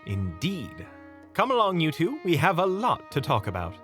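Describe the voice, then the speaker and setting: A man's voice: a little high, wildly swooping pitch, moderate pace, fairly bright tone, faint music behind. A man playing a sociable, chatty monster hunter with polite, eloquent speech in an audio roleplay drama. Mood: breezy, teasing.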